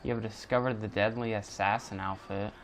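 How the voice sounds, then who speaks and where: Guy doing rambly lets play commentary, lowish voice, bit of background noise. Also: fairly even intonation, fairly quick, low-quality recording. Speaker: gamer recording a playthrough. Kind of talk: casual gameplay commentary.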